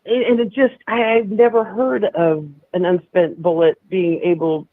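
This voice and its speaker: Raspy, hoarse man on a phone line. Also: sits high, level tone, no room sound. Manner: halting, restarts often, agreeable. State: hoarse from being sick. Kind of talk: casual chat.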